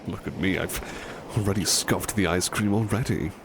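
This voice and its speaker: A man playing a devoted, polite butler in an ASMR roleplay, gushing with curious delight in genteel, halting phrases. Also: sits low, background noise, close to an everyday voice. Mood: savoring, content. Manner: genteel, effusive, halting with repeated words. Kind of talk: ASMR roleplay, personal attention to the listener.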